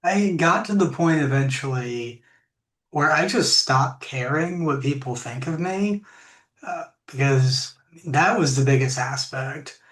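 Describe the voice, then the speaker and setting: A woman with a deep voice, a podcast guest talking things through in a reflective way, often pausing and restarting. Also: animated. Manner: reflective, unhurried, many fillers and restarts. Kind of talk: casual interview talk. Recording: echoey room.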